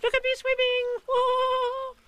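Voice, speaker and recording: A man voicing a tiny, excited young male kangaroo in a squeaky, boastful voice. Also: takes it slowly, heavy background noise. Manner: boastful, breathless chatter.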